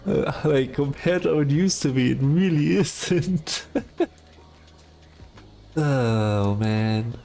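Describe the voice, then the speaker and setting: Man, livestreamer, soft calm voice, slow talker, hesitant fillers, casual chat. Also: a little high, gravelly.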